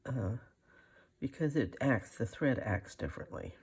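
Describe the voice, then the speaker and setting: Slow, husky, creaky older woman. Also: a bass voice, lively intonation, a little mellow. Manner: folksy, rambling, muttering to self. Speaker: craft video host. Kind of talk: casual hand-stitching tutorial, thinking aloud.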